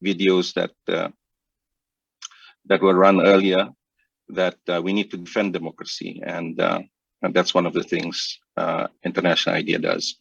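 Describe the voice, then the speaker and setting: A man's voice: low voice, completely flat delivery, moderate pace, creaky, fairly bright tone, slightly rough, dry recording. A man from Indonesia joining a panel remotely, introducing himself hesitantly with many fillers. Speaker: panel guest. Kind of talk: introductory remarks. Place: remote office. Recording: dull dry remote audio.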